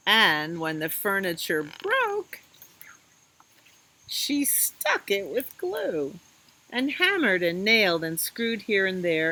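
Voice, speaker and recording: A woman hosting a children's storytime, reading aloud in an expressive, sing-song way and talking directly to young listeners. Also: on the low side, low-quality recording. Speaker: children's librarian, storytime host.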